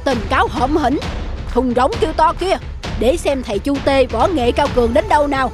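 A woman voicing a boastful, mocking animal in an animated fable, with theatrical exclamations. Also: high-pitched, brisk, music in the background, close to an everyday voice. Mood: menacing.

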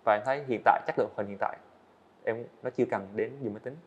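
A man's voice: fairly low pitch, very fast, muffled recording, a little hiss or hum. A young man, a guest animator, earnestly explaining his work step by step in a casual interview on a studio set.

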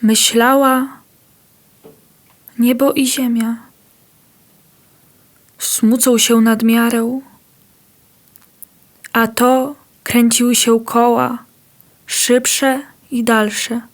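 Female reader, solemn poetry recital, extremely slow and monotone. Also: fairly high pitch. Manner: slow, even, solemn reading.

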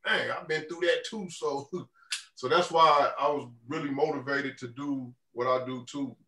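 A man in his thirties with a deep voice, answering interview questions in a loose, laid-back way on a video call. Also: fairly even intonation, a little slow, sharp tone. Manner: laid-back, loose, lots of "you know what I'm saying". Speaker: rapper guest. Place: indoor room on a video call. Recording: roomy video call audio, a bit distant.

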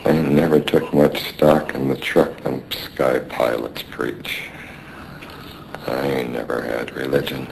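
An actor playing a weary, stoic, dying merchant sailor, rough-spoken, weak and in pain, in a radio drama. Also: male voice, very deep voice, little pitch movement, unhurried, a little mellow, slight background noise, exaggerated voice, rough recording. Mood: resigned weary. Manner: rough uneducated sailor speech.

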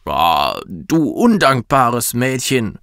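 A man voicing a bossy mother toad in a children's storybook, scolding in a drawn-out, reproachful way. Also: medium pitch, sing-song, gravelly, a bit bright, no room sound, studio quality, exaggerated voice.

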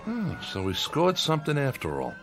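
A man's voice: fairly high pitch, huge pitch swings, moderate pace, faint music behind. A man playing an irritable, edgy small-time crook in a radio drama, sarcastic and slangy. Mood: wry.

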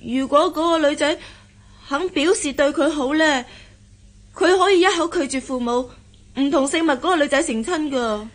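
A woman's voice: high-pitched, moderate pace, natural voice. An actress playing a shy, lovesick young daughter in a radio drama, hesitant and stammering as she hides her feelings. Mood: hesitant, upset. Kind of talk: Cantonese radio drama acting.

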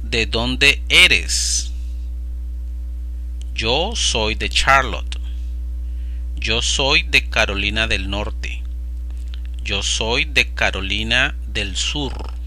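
A man's voice: fairly low pitch, pushed and bright, slight background noise, low-quality recording. A man teaching Spanish, reading practice phrases aloud one at a time with careful pronunciation. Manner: slow, carefully enunciated phrase by phrase. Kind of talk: slow read-aloud vocabulary drill. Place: voice-over over slides.

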